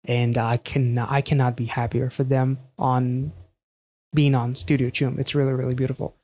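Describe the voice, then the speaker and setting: A man in his thirties giving casual reaction commentary in a halting, thinking-aloud way, close on a studio microphone. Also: medium pitch, little pitch movement, mellow. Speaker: reaction video host. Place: small quiet indoor home studio.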